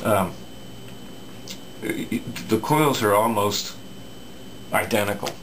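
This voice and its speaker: A man's voice: fairly low pitch, takes it slowly, a bit bright, slight background noise, rough recording. A man talking casually at his workbench, explaining a radio repair with many fillers and restarts.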